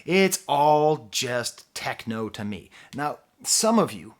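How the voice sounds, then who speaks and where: A man giving a casual on-camera album review, talking in an animated, rambling and self-deprecating way. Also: medium pitch, slow pace. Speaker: music reviewer.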